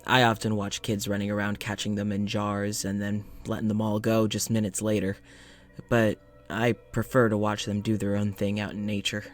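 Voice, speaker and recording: A man's voice: lowish voice, monotone, normal speed, soft background music, lightly acted. A man playing a polite, uneasy scientist in an audio drama, speaking in a reflective, hesitant, conversational way, as if into a journal. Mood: relaxed, reflective. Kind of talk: audio drama monologue and dialogue.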